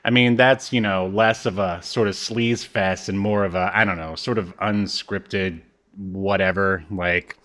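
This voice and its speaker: A man's voice: deep voice, steady tone, unhurried, a bit bright. A man chatting casually as a podcast host, talking in a rambling, wry, self-deprecating way. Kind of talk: casual chat.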